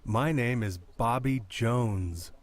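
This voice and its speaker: A man with an American accent reading short English lesson phrases in a careful, measured way. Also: mid-range voice. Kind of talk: slow scripted lesson reading. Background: plucked string music.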